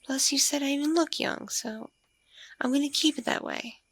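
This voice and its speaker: A woman playing a lonely, coy vampire mother in an ASMR roleplay, musing slowly in a husky, breathy, creaky voice with soft hums. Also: sits high, lightly acted. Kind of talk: ASMR audio roleplay, intimate one-sided conversation.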